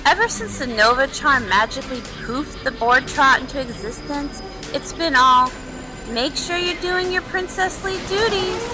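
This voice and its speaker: A woman's voice: high-pitched, animated, normal speed, music in the background, poor audio. A woman voicing a self-absorbed, dramatic young princess pony with a sassy, whiny manner. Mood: exasperated. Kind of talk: cartoon voice acting in a comic read-along.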